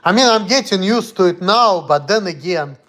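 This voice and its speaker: A man's voice: high voice, animated, moderate pace, fairly bright tone. A man from Russia with a Russian accent, talking bluntly and heatedly on a casual livestream in broken English. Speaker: streamer.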